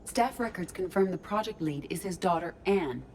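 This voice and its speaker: A woman voicing a calm ship artificial intelligence in a video game, speaking in a measured, formal, analytical way. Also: fairly low pitch, a little hiss or hum. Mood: measured, matter-of-fact.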